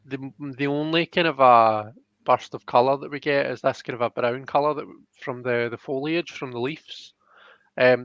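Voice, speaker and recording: Man, halting chat, dull dry sound. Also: medium pitch, a bit bright, clear, resonant tone, no room sound. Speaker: host and tarot card reader. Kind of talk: casual live card reading chat.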